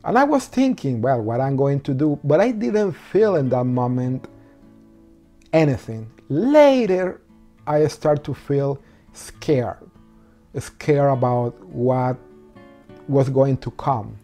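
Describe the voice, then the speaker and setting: Venezuelan man with a mellow voice. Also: medium pitch, animated, soft background music. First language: Spanish. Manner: halting non-native English with many ums and restarts. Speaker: interviewee. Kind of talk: personal story interview.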